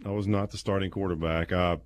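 A man, telling stories casually and haltingly as a guest on sports radio. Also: low voice, steady tone. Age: 50s. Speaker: guest, former college quarterback. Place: small padded radio studio. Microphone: close studio microphone with headset.

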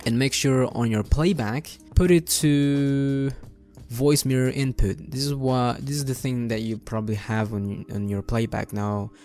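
A young adult man hosting an informal software tutorial, explaining things step by step in a casual way. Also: mid-range voice, fairly even intonation, measured pace, soft background music. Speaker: tutorial host. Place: indoor room at a desk.

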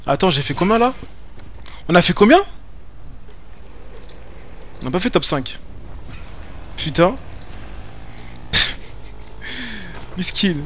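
A man's voice: high voice, very animated, takes it slowly, long pauses, a bit bright, slight background noise. A young man streaming a game from home, chatting with viewers in slangy, joking banter. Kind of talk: casual gaming commentary. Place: home room, webcam.

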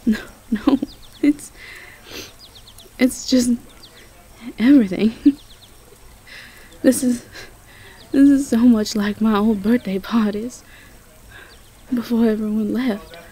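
Woman acting a slow, breathy Southern belle girlfriend. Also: fairly high pitch, steady tone. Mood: overwhelmed, tearful. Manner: soft, halting, repeats words. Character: tender, easily overwhelmed Southern belle girlfriend. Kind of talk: intimate roleplay audio drama acting.

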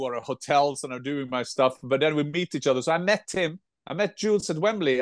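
A man in his sixties with a Norwegian accent, co-hosting a casual football chat from home over a video call, animated and given to restarting his words. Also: a little high, no echo, studio quality. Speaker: football pundit and co-host. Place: home room with football shirts.

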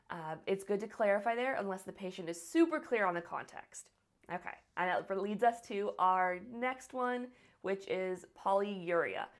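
A woman in her thirties from the United States, a nurse practitioner teaching medical English phrases in a scripted online lesson, saying each model sentence slowly and deliberately. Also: fairly low pitch. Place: small home office with a green wall.

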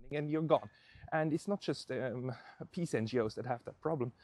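A man in his thirties giving halting, off-the-cuff commentary, thinking aloud as he walks outdoors with a camera at arm's length. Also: mid-range voice, darkish tone. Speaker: vlog host. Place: outdoors on a suburban street. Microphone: handheld camera at arm's length.

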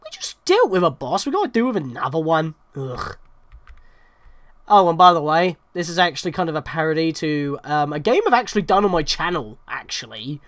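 A man's voice: fairly high pitch, big pitch swings, brisk, fairly bright tone, no echo. A young man hosting a let's play with casual, wry, conversational game commentary.

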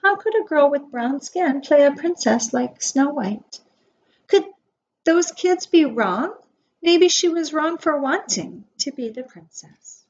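A woman in her forties reading a picture book aloud to preschoolers as their teacher, expressively and with measured emphasis on key words. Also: high voice, expressive. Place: small wood-panelled room indoors. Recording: dull webcam audio with faint noise.

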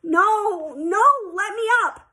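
A woman's voice: extremely high pitch, lively intonation, brisk, pitched above the performer's own voice. A woman voicing a stubborn, anxious young girl in a children's story, defensive and protesting. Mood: exhausted pleading.

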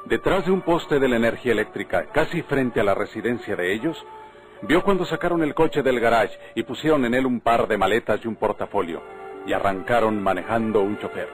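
A man's voice: medium pitch, a little fast, faint music behind. A man with a Mexican accent reading scripted narration for an old radio drama.

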